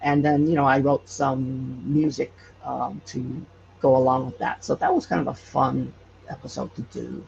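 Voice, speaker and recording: A man chatting casually as an interview guest, often hesitating and pausing to search for words. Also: mid-range voice, flat delivery, gravelly, a little mellow. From Hawaii. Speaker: podcast creator, interview guest. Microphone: online call. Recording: muffled, noisy internet call.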